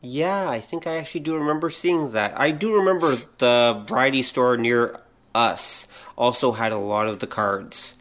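A middle-aged man co-hosting a podcast, asking and responding casually in a measured, reflective way. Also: medium pitch, a bit bright. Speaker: podcast co-host. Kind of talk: casual conversational questioning.

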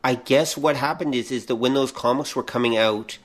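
A man's voice: mid-range voice, brisk. A middle-aged man co-hosting a podcast, chatting informally as a fan. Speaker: podcast co-host. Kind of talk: casual conversational fan chat.

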